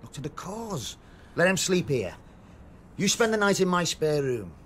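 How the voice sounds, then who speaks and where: Pompous, animated activist. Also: male voice, a little high, unhurried, slight background noise. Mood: firm, soothing. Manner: declamatory, rhetorical. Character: zealous, pompous male political activist. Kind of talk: radio drama acting.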